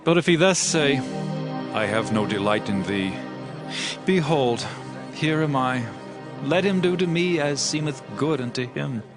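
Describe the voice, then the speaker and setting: A solemn, devout king, high-pitched voice. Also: expressive, a little slow, pauses often. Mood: resigned, solemn. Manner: solemn, archaic, declamatory delivery. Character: grieving, devout king fleeing his rebel son. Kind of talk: dramatized scripture audiobook acting. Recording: loud music under the voice.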